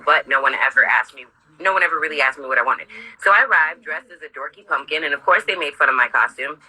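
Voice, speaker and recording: Husky, very bright low-voiced woman reading candidly. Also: poor audio. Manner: candid, sardonic, profane. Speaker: author reading from own memoir. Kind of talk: informal read-aloud of memoir with off-script asides.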